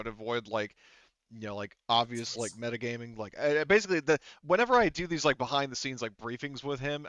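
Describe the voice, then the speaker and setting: A man's voice: medium pitch, a bit bright, smooth, dry recording, lo-fi sound. A man with a clean, resonant voice runs a tabletop roleplaying game in an informal, explanatory way. He swears casually as he talks. The sound is dry, with little room on it, and slightly dulled in the top end. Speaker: game master.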